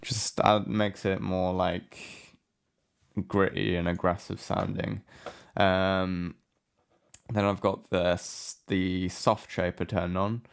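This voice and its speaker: A man giving a casual software tutorial in a hesitant, offhand, unscripted way. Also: low voice, little pitch movement, slow pace, gravelly, clear, resonant tone. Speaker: music production tutorial host. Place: indoor room with a window.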